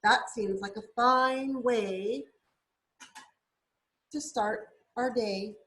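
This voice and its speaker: A woman's voice: fairly high pitch, very slow, fairly bright tone. A woman voicing a cheery, grandly enthusiastic little female bird teacher in a storybook, chirpy and theatrical.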